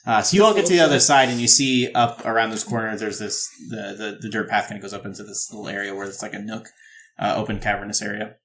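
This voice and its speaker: A man narrating a tabletop game as game master, improvising descriptions in a halting, descriptive way. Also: fairly low pitch, fairly bright tone.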